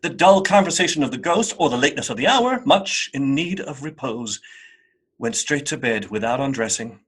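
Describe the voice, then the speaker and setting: A man narrating a radio play as a scripted, dramatic storyteller, with a slightly husky voice. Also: medium pitch, animated, moderate pace, a bit bright.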